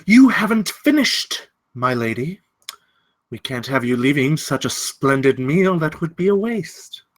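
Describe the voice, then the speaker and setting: An actor playing a menacing, courteous gentleman villain in a script table read, telling his tale in deliberate, ornate, theatrical phrasing. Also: on the high side, sing-song. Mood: courteous menace.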